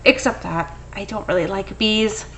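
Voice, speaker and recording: A woman's voice: medium pitch, moderate pace, fairly bright tone, muffled recording, slight background noise, pitched below the performer's own voice, close to an everyday voice. A woman voicing a reluctant, wary buddy character from a children's picture book.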